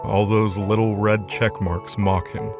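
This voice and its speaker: A man's voice: on the low side, little pitch movement, a little slow. A man narrating a scripted fantasy story as the narrator of an audio drama. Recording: music under the voice.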